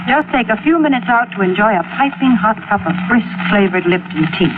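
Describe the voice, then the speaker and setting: A woman gives a scripted sales pitch in the style of 1940s radio advertising. She is the programme's spokeswoman. The recording is thin and narrow, like an old broadcast. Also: animated, fairly quick, noisy background. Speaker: commercial spokeswoman. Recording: tinny vintage radio recording.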